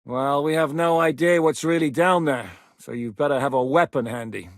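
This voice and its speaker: A man playing a gruff, commanding rebel leader. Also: fairly high pitch, moderate pace, no room sound, clean studio sound, natural voice. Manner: barks curt orders, swears often. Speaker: game character. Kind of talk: video game voice acting.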